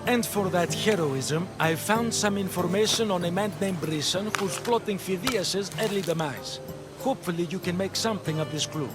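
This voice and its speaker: Man as a high-voiced courteous Greek informant. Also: normal speed, natural voice. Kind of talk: video game dialogue acting. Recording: music under the voice.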